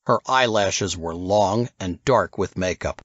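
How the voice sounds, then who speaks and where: A man's voice: low-pitched, takes it slowly, fairly bright tone, no room sound, dull audio. A man reading a novel as scripted narration, telling the story as an audiobook narrator.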